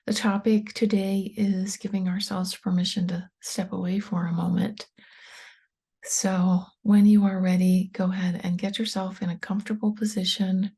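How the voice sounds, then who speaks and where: Calm woman meditation guide, breathy near-whisper, steady unvaried tone. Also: medium pitch. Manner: soft, slow and soothing, often near a whisper. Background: soft meditation music with singing bowl tones.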